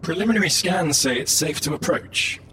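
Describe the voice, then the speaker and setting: An efficient spaceship assistant reports in precise, procedural phrases. The voice sits away from the mic amid clearly noisy ship ambience. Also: female voice, deep voice, lively intonation, normal speed, recorded from a distance. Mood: calm, efficient. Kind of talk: sci-fi audio comedy.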